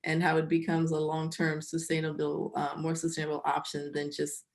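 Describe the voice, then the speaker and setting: Female panel host, slightly low voice, conversational, affirming, summarizes for the listeners. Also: completely flat delivery, normal speed. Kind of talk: conversational moderating.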